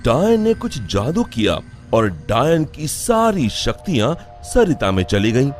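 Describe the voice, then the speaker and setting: A man narrating a cartoon moral story in a measured, expressive way. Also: medium pitch, faint music behind.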